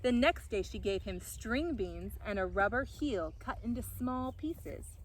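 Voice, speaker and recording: A woman's voice: a little high, animated, unhurried. Woman reading a children's story, noisy background. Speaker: storybook reader.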